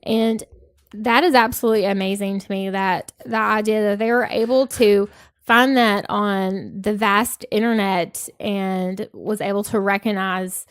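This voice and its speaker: A woman in her thirties co-hosting a podcast, soft-spoken and a little nasal, pausing often with ums as she gathers her thoughts. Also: mid-range voice, fairly even intonation, smooth, dry recording, studio quality. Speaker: podcast co-host. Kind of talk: casual podcast chat.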